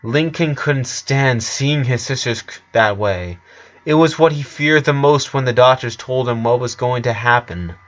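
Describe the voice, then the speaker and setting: Slow-paced man narrating, dull recording. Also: mid-range voice. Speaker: fan fiction narrator. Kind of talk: scripted story narration.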